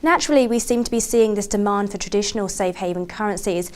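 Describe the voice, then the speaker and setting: A woman's voice: mid-range voice, fast, darkish tone. A woman journalist putting prepared financial questions in a formal, articulate broadcast style. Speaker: TV journalist, interviewer.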